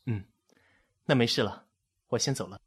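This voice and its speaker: 一名男配音演员扮演一位业余心理复健师，说话冷静，带点调侃。. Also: male voice, medium pitch, moderate pace, breathy edge, close to an everyday voice. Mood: composed. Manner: dry, teasing, composed. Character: wry young man, amateur therapist, calm and sly. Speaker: psychological rehab counselor.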